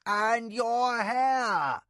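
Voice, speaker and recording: A man voicing a fussy, scolding old woman who was once a nurse, shrill and reproachful. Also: big pitch swings, moderate pace, husky, no room sound, studio quality, full character voice.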